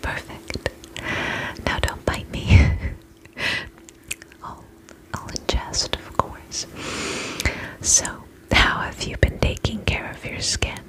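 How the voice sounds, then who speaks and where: A woman in her twenties doing a soothing, attentive ASMR roleplay as a spa attendant, whispering softly close to the microphone. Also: poor audio. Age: 20s. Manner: soft, soothing, attentive customer-service patter. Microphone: close microphone, face near camera.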